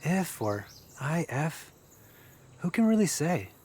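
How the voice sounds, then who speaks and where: A man's voice: a little high, sing-song, slow pace, darkish tone, breathy edge, heavily acted. An actor playing a brash, take-charge young man in an audio drama, talking in a casual, coarse way. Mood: casual, leading.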